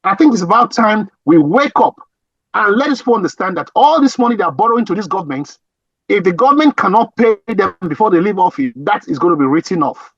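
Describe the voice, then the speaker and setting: A man with a husky voice, giving emphatic political commentary with many restarts and repeated phrases. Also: high-pitched, expressive, brisk, no room sound, lo-fi sound. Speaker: guest commentator.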